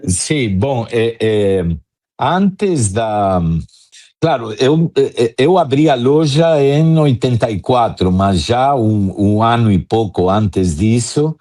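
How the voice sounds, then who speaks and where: A man from Argentina with an Argentine accent, speaking Portuguese as a second language, telling his career story slowly with many hesitations in an interview. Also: medium pitch, expressive, mellow. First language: Spanish. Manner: slow, many hesitant fillers. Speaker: guest, drum importer.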